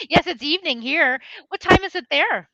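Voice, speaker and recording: A woman of forty, an English tutor from the United States, chatting excitedly with a student and laughing often. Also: very high voice, unhurried, dry recording, dull audio. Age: 40s. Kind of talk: online lesson conversation. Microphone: video call.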